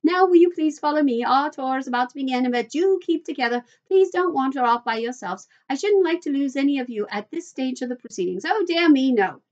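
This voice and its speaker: A woman voicing an effusive, eccentric little male factory owner from a children's storybook, in a high, fluty voice and gushing bursts. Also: animated, darkish tone, lo-fi sound. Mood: gushing delight. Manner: gushing, hurried bursts of exclamation.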